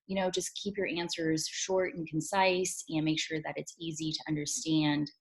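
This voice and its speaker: Low-voiced woman, native Mandarin speaker. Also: flat delivery, poor audio. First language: Mandarin Chinese. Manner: measured, explanatory, frequent "you know" and "um". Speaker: immigration attorney and former consular officer. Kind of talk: interview answers.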